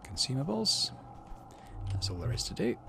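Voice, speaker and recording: A man's voice: mid-range voice, huge pitch swings, moderate pace, vocal fry, background noise. A man hosting a gaming video, reading story text aloud and adding casual commentary.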